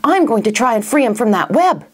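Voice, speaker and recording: Lady doing a excitable girl sidekick in a space storybook, high pitched, urgent, super lively. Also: fairly quick. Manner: urgent, exclamatory. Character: urgent, excitable young female rocket sidekick.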